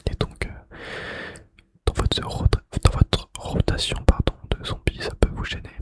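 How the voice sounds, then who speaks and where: A man whispering in a breathy, unvoiced voice with mouth sounds, in an ASMR style, softly repeating phrases. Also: measured pace. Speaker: ASMR video creator and host.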